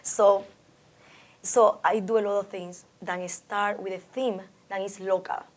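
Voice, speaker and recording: A woman's voice: mid-range voice, steady tone, a little slow. A woman from the Dominican Republic with a Dominican Spanish accent, a Spanish speaker being interviewed about her art, reflective and often restarting her sentences. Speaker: artist interviewee. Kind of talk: oral history interview.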